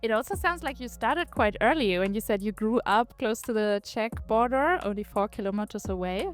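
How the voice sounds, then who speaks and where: A woman's voice: on the high side, expressive, moderate pace, faint music behind. A woman co-hosting an interview, asking curious follow-up questions. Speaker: co-host. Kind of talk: casual interview chat.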